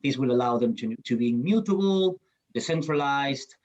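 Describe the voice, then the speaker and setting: A man from Peru answering on a conference panel. He speaks haltingly, with frequent fillers and restarts. The recording sounds a little dull. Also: medium pitch, expressive, darkish tone. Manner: hesitant, many fillers and restarts. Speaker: panelist, certification program CEO.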